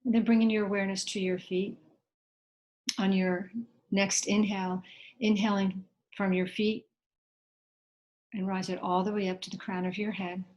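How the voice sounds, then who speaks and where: A woman leading a guided meditation in a gentle, unhurried way, leaving long pauses between short instructions. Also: medium pitch, little pitch movement, low-quality recording.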